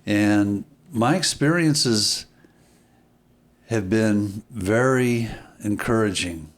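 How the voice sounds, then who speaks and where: A man speaking as an interview guest, reflective and deliberate, often pausing and repeating phrases for emphasis. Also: lowish voice. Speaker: podcast guest, filmmaker.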